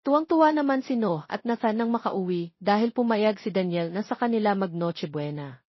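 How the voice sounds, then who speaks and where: A woman's voice: mid-range voice, animated, normal speed, no echo, dull audio. A woman narrating a scripted romance audio story.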